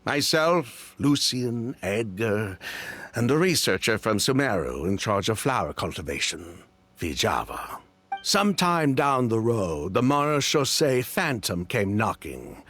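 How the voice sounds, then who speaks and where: An actor playing a weary, bitter former convict in a video game, telling things with resigned drama. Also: male voice, mid-range voice, fairly bright tone, no room sound.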